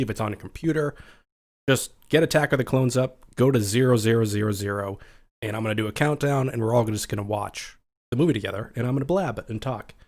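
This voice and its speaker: A young adult man with a scratchy voice, hosting a casual livestream and chatting with viewers as he reads out their comments. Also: medium pitch. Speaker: livestream host.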